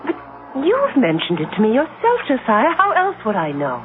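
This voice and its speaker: An actress playing the anxious, pleading wife of a sea captain in an old-time radio drama, with theatrical delivery. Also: female voice, a little high, wildly swooping pitch, moderate pace. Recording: noisy, narrow-band old radio broadcast.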